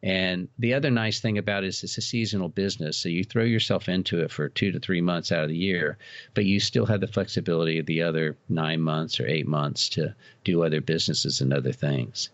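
Deep-voiced older American man, roomy sound. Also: steady tone, brisk. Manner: reminiscing, explanatory. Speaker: podcast guest, haunted house operator. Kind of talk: conversational interview storytelling. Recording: dull, roomy, echoey sound.

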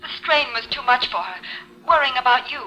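A worried young-sounding woman on an old radio-play telephone. The voice is high, bright and urgent, coming through a phone effect. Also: animated, brisk, muffled recording. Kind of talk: 1940s radio drama acting.

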